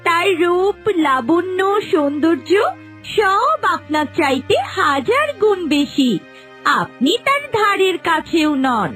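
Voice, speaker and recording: A magic mirror of a cartoon fairy tale speaks, voiced by a woman. The voice is very high and slow, delivering blunt, solemn verdicts. Faint music sits behind it. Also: very animated, a bit bright, full character voice. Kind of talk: animated fairy-tale dialogue.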